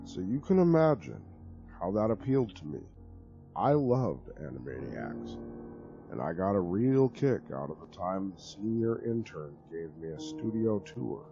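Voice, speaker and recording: A man giving a dramatic reading of a horror story, telling it in an expressive, conversational way. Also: fairly low pitch, a little slow, muffled recording, music in the background. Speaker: narrator.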